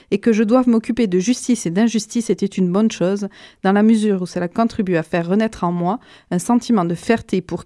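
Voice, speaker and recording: A woman on a radio book show, reading a passage in a measured, steady way and chatting casually about books at a studio microphone. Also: mid-range voice, no room sound. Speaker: guest book columnist.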